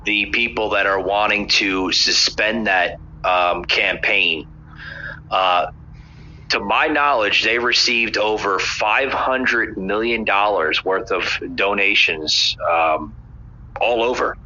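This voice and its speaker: A man with a low, forceful and sharp voice hosts a casual political podcast. He halts, repeats himself and fills gaps with "uh". The recording is muffled and lo-fi. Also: a little hiss or hum. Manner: hesitant, repeats words, many "uh"s. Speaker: podcast host.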